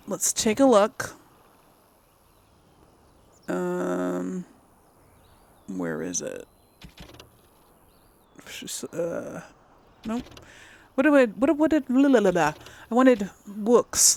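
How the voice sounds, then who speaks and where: A woman giving casual game playthrough commentary, thinking aloud and muttering to herself as she goes. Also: medium pitch. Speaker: gaming channel host.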